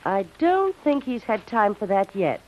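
An actress playing a spirited, anxious and devoted young heroine in an old-time radio drama, speaking with earnest, dramatic delivery. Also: female voice, mid-range voice, sing-song, measured pace. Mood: businesslike. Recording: muffled, noisy vintage radio recording.

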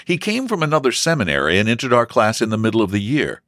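A man's voice: mid-range voice, huge pitch swings, fast, fairly bright tone, dry recording, studio quality, voice pushed up. A man voicing a good-humored, affectionate young brother in a storybook reading. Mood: earnest.

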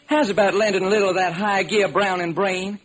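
Radio detective drama: folksy, baffled police lieutenant, high husky voice, earnest and slangy. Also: male voice, fairly even intonation, a little fast. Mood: urgent, puzzled. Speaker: actor. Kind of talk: old-time radio detective drama acting. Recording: noisy vintage radio recording.

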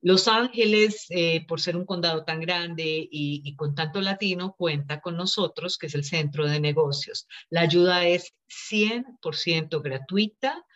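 Low-voiced woman on a dull line. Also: a little slow, few pauses, a bit bright. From Colombia. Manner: earnest, explanatory, laughs easily. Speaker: small business consultant, guest. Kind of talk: interview answers.

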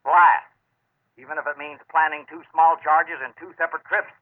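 Stubborn ship captain, higher-pitched, tinny radio male actor. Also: level tone, moderate pace, close to an everyday voice. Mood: insistent. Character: stubborn, daring salvage ship captain, commanding and confident. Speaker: actor in a radio suspense drama. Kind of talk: old-time radio acting. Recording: tinny narrow-band vintage radio recording.

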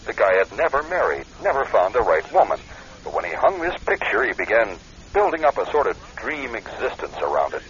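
A man's voice: lowish voice, wildly swooping pitch, fairly quick, fairly bright tone. An American man playing a blunt, protective friend in a radio drama, urgent and colloquial in his talk. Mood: blunt, insistent. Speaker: actor in a radio play. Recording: dull lo-fi radio recording.

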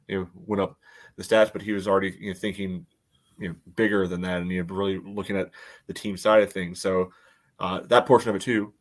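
A man co-hosting a podcast, giving casual sports analysis in a halting way full of verbal filler. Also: low voice. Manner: halting, peppered with "you know". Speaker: podcast co-host.

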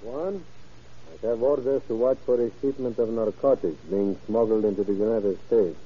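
An actor playing a grave, resolute Mexican army general in a 1930s radio drama. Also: male voice, on the low side, moderate pace, close to an everyday voice. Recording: dull, lo-fi old radio recording.

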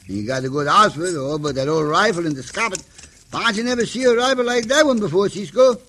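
High, childlike Mexican cowboy sidekick. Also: male voice, a little fast, sharp tone. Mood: eager, naive. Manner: broken English, refers to himself by name, rambling. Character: Pancho, childlike good-natured talkative Mexican cowboy sidekick. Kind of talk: old-time radio western acting. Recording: noisy old radio show recording.

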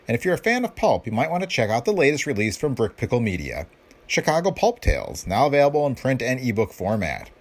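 A man's voice: medium pitch, wildly swooping pitch, fast. A man hosting a podcast, reading scripted announcements. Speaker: podcast host.

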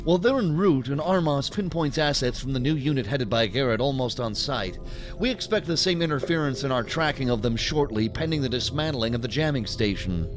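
An actor playing a deferential, uneasy agent in a sci-fi audio drama, speaking formally and haltingly. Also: male voice, mid-range voice, lively intonation, music in the background, lightly acted. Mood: dutiful. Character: deferential, uneasy male agent and task force leader. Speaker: subordinate law-enforcement agent.

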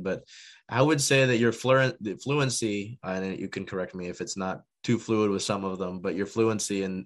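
Male sports podcast host, low voice, loose and wordy when he asks questions. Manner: rambling questions with many fillers. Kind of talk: sports interview.

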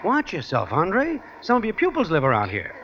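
A wry sidekick who needles his friend in an old radio comedy. The voice is high and swoops very widely. The old recording sounds dull. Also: moderate pace, a little hiss or hum, full character voice. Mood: teasing. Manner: animated, needling. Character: wry, teasing male pal of phony professor.

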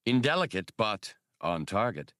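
Suave male gunslinger for space audiobook, voiced by a man. Dry wit, polished, expressive, no room sound. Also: on the low side, unhurried, no room sound, full character voice. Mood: droll, relishing. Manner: formal, droll, polished.

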